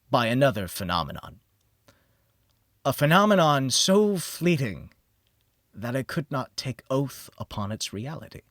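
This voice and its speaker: A man narrating a gothic horror audiobook in a solemn, theatrical manner with deliberate pauses. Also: mid-range voice, fairly bright tone, dry recording, studio quality.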